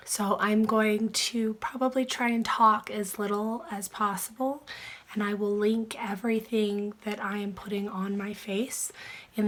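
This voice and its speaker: A woman who is sick and losing her voice, chatting softly through a makeup tutorial, often dropping into a whisper. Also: medium pitch. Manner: soft, chatty, trailing into whispers. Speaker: beauty vlogger.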